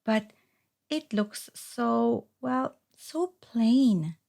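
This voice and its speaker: A woman's voice: a little high, lively intonation, very slow, creaky, voice pushed up, exaggerated voice. A woman voicing a timid, polite hermit crab in a children's storybook, earnest and courteous. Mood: unsure. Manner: gentle, earnest, courteous questioning.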